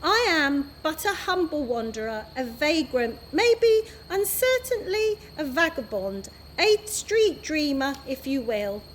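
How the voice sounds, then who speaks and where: A woman's voice: high voice, big pitch swings, slow pace, few pauses, a little hiss or hum, exaggerated voice. A woman voicing a grandly polite old male tramp who speaks in florid, formal phrases. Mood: grandiose.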